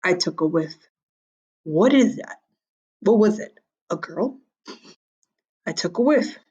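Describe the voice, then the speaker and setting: A woman's voice: fairly low pitch, expressive, slow, mellow, slightly rough, dull audio, exaggerated voice. A woman voicing a sly, hungry storybook wolf who narrates his tale to children in a wry, theatrical, confiding way. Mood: hungry, scheming.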